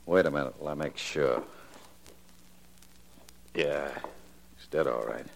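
An actor playing a cold-blooded, impatient gangster in 1940s radio style, sardonic and bossy. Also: male voice, a bass voice, slow, vocal fry, heavily acted. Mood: coolly sardonic. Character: cold-blooded, impatient gangster bullying his ditzy girlfriend.

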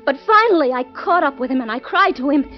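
An actress playing a terrified wife in an old-time radio drama, plaintive and earnest, with emphatic repetition. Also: female voice, sits high, expressive, fast. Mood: anxious recounting. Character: Helen, terrified wife recounting a nightmare. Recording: dull old radio recording, faint music.

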